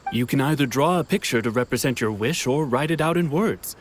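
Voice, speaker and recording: A man voicing a calm, helpful festival stall keeper in a video game, measured and welcoming. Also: mid-range voice, close to an everyday voice. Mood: courteous. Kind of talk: game voice acting.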